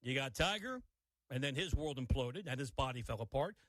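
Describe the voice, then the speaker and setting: Lively, opinionated sports radio man. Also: medium pitch, a little fast, dry recording. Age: older. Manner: opinionated, animated, wry asides. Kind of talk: sports talk radio monologue. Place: radio studio.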